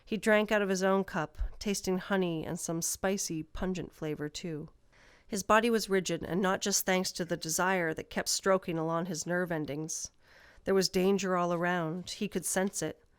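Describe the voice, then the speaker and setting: A woman's voice: on the low side, steady tone, moderate pace, clear, resonant tone, dry recording, clean studio sound. A woman narrator reading a scripted fantasy story.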